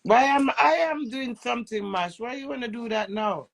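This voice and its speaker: Jamaican lady on a phone livestream, high gravelly voice, teasing and cooing. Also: moderate pace, a crowd in the background. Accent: Jamaican with a touch of British. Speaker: livestream host. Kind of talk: flirty casual livestream chat.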